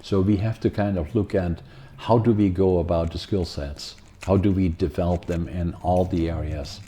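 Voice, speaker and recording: A man's voice: low-pitched, fairly even intonation, normal speed, warm tone. An older man from the Netherlands with a Dutch accent, hosting a podcast in a rambling, casual talk full of fillers and restarts. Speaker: podcast host.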